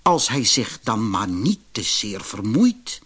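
A man's voice: lowish voice, very animated, slow pace, a bit bright, slightly rough, pitched below the performer's own voice, full character voice. A man voicing a good-natured, gullible barber, earnest, in a storybook fairy tale. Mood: concerned. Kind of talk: storybook character voice.

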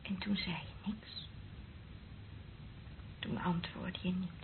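An actress in a radio play playing an anguished woman in love, intense, moving between cries and slow whispers. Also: lowish voice, steady tone, vocal fry. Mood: hushed, confessional. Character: anguished woman in love, confessing her lies. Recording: narrow, noisy old radio recording.

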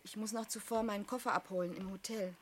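A woman's voice: medium pitch, steady tone, quick pace, a little hiss or hum, natural voice. An actress playing an earnest, sympathetic young working woman in a radio drama, speaking with sincere, intimate urgency. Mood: hesitant, confiding. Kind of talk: German radio drama acting.

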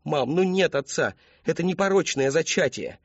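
A man voicing a frightened, tearful teenage daughter in an audiobook, pleading and halting in a thin, trembling voice. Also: fairly high pitch, expressive, no room sound, lo-fi sound, pitched above the performer's own voice. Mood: desperate.

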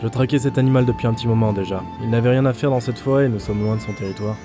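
A man playing a reserved, helpful ranger in a fantasy audio drama, with a calm, instructive delivery. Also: medium pitch, very fast, music in the background, close to an everyday voice. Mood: apologetic, reserved. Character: male ranger player in a fantasy game world, gruff but helpful.

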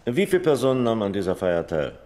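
An actor playing an authoritative police captain in a radio crime drama, asking pointed, official questions. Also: male voice, on the low side, big pitch swings, fast talker, darkish tone, in a large hall. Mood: probing.

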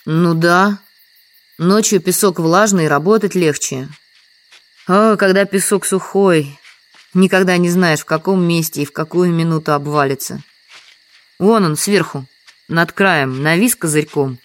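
A woman's voice: fairly low pitch, unhurried, close to an everyday voice. An actress playing a humble, trusting village woman in an audio drama, explaining things simply. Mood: matter-of-fact.

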